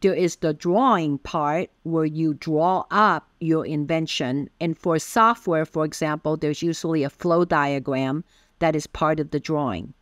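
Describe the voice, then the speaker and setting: A middle-aged woman from Taiwan, whose first language is Taiwanese Hokkien, speaking as a patent attorney guest and explaining carefully with frequent pauses and "um"s. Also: sits low, no echo. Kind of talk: interview explanation.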